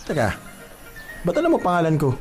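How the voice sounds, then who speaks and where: A man's voice: fairly high pitch, huge pitch swings, fairly quick, faint music behind. A man acting a grumpy, aloof garbage collector in a Filipino radio drama, curt, scolding and impatient. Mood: annoyed, dismissive.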